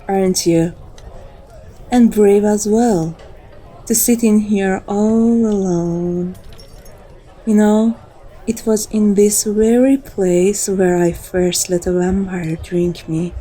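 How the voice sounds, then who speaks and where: A woman's voice: mid-range voice, dark tone, rough recording. A woman playing a flirtatious, teasing female vampire in an intimate, coaxing ASMR roleplay.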